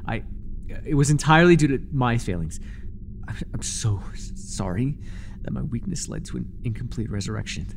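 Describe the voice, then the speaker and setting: A man playing a devoted, fawning high priest of a dark god in an audio roleplay, stammering, reverent and overeager, with breathy delivery. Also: background noise. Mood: nervous, apologetic.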